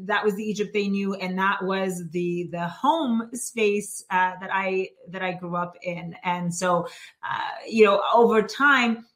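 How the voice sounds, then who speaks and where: A woman from Canada, a financial planner and author, telling personal stories as a guest on a remote interview, with frequent laughter and many fillers. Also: mid-range voice. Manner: warm storyteller, laughs often, many fillers. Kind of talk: podcast interview.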